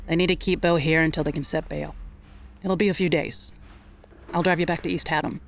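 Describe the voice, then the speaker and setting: Lowish-voiced firm, level-headed woman. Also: fairly even intonation, brisk, vocal fry, a little hiss or hum. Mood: resigned, practical. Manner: earnest, firm. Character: level-headed, insistent woman giving witness and guarding a friend. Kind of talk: naturalistic audio drama acting.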